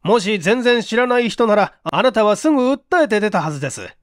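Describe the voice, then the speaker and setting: A man voices an investigations chief in a mystery audiobook. The voice is fairly high and lively in pitch, with a dry close sound. He presses with formal authority, yet stays kindly. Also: brisk, no room sound. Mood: firm, pressing. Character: firm but kindly male police investigations chief. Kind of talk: dramatized audiobook reading.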